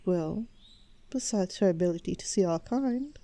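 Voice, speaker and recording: Woman playing a mellow, composed elf queen. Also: fairly low pitch, animated. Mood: persuasive. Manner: formal, measured, quietly assured. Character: composed, shrewd, persuasive elf queen and mother. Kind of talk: fantasy audio roleplay acting.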